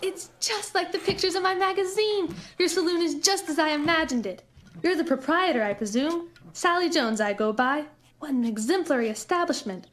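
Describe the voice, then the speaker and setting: A woman's voice: very high voice, moderate pace, a bit bright, slight background noise. A woman playing an eager, genteel, starry-eyed lady missionary in a western comedy audio drama, with flowery, grandiloquent speech. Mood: awestruck.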